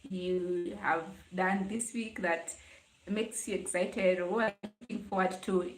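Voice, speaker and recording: Casting: young Kenyan woman, low-pitched-to-average range not required, casual livestream host chatting with commenters, roomy echo on the sound. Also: fairly even intonation, a little slow. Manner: conversational, greeting and answering commenters. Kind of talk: casual live chat with viewers. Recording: roomy, echoing live stream sound.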